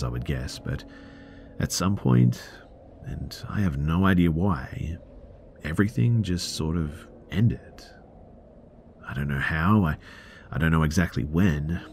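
A man with an extremely deep voice narrates a scary story in a slow, hushed manner, sometimes sinking to a whisper. A faint hiss sits under his voice. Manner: hushed, drawn-out dramatic delivery with whispered words. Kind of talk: scripted horror story narration.

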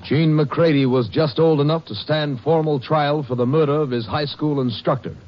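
A man's voice: on the high side, normal speed, faint music behind. Solemn male radio narrator. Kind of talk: scripted epilogue narration. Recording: dull 1950s radio transcription.

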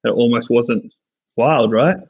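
An Australian man guesting on a podcast, telling stories in an animated, joking way. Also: mid-range voice, a little slow, muffled recording. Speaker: worship songwriter guest. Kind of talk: casual podcast chat.

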